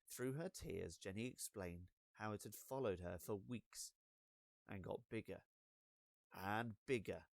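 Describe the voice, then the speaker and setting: Slow-paced male children's storyteller. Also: on the low side, vocal fry, dry recording. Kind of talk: expressive scripted narration of a children's storybook.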